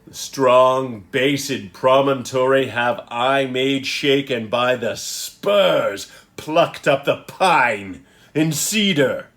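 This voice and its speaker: A commanding male magician acted by a man declaims Shakespearean verse. He is solemn and grand, a wronged figure of great power. Each phrase comes slowly and deliberately in a mid-range voice. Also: mid-range voice, a bit bright. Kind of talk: Shakespearean stage soliloquy.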